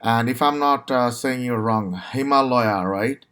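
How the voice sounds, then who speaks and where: A man from Bangladesh hosts an interview over a video call. He talks in a halting way, with many fillers and restarts. His voice sits at a middle pitch for a man. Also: a bit bright, clean tone. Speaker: podcast host.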